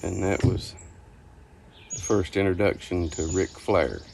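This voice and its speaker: Gravelly older man telling stories. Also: deep voice, darkish tone, slight background noise. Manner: folksy, unhurried, halting. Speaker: storyteller. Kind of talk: casual reminiscing storytelling. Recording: outdoor ambience with birdsong behind. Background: birdsong, outdoor ambience.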